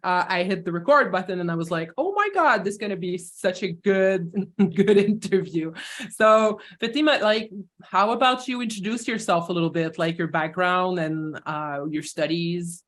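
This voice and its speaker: A woman's voice: fairly low pitch, normal speed, fairly bright tone. A woman podcast host opening a casual interview in a bubbly way.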